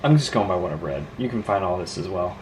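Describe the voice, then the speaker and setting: A man's voice: low-pitched, animated, quick pace, darkish tone, slight background noise, low-quality recording. A man giving an informal, rambling hands-on show-and-tell as a hobbyist, with an enthusiastic, marveling manner. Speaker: vintage radio hobbyist presenting a video.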